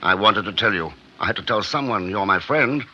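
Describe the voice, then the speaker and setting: An actor playing a proud, secretive, driven scientist in a 1950s radio drama, with an intense, clipped delivery. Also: male voice, on the low side, animated, fairly quick, bright, forceful tone. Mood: uneasy determination. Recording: muffled, noisy 1950s radio recording, clicks.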